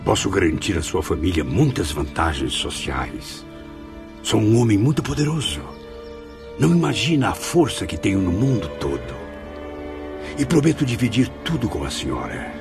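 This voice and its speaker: A cruel, lovesick nobleman in a radio play. His gravelly voice swings widely in pitch, impassioned and menacing, with music behind it. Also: full character voice. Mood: pleading, persuasive. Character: obsessed, vengeful, cruel Spanish nobleman in love. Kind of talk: radio drama acting.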